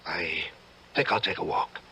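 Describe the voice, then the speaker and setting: An actor playing a brooding, distinguished judge with dark urges, speaking gravely and with measured gravity in old-time radio drama style. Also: male voice, low voice, exaggerated voice. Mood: weary, evasive. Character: brooding, distinguished male judge secretly drawn to murder.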